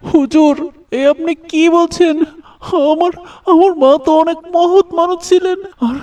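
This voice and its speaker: An actor voicing a distraught young village son, devout and quick to anger, stammering and repeating words in a melodramatic cartoon style. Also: very high-pitched, steady tone, darkish tone, recorded from a distance. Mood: anguished, pleading.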